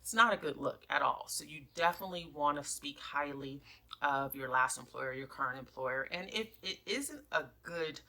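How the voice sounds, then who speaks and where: A woman's voice: low voice, normal speed, sharp tone. A woman presenting instructional career tips as a human resources coach, stressing headings and key phrases emphatically. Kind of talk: instructional advice talk, numbered tips.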